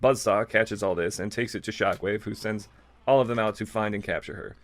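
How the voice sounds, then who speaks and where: A man's voice: lowish voice, a little fast. A man reading fan fiction aloud as a co-host, with casual, amused, irreverent asides.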